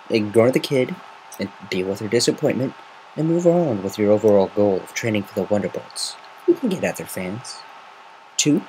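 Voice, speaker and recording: A brash female griffin, read by a man in a fan story. She talks bluntly and needles, with lively swings in a low voice and some noise behind it. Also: close to an everyday voice, rough recording.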